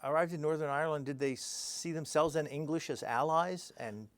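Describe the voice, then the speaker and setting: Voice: medium pitch, normal speed, no echo. Direct interviewer with a dry, close sound. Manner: plain, direct questions with small restarts. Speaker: interviewer host. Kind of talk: interview questions.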